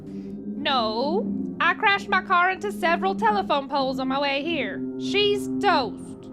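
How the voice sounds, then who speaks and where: A woman's voice: extremely high pitch, animated, measured pace. A woman playing a brash, wisecracking, crooked mechanic in a comic audio mystery. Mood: brash, defensive. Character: shady, brash female mechanic with a crooked streak. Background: loud music.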